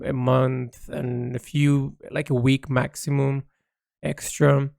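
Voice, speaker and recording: A man recording a reflective, unscripted audio log as an artist, rambling and rephrasing haltingly as he thinks aloud into a close mic. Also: mid-range voice, creaky, dry recording.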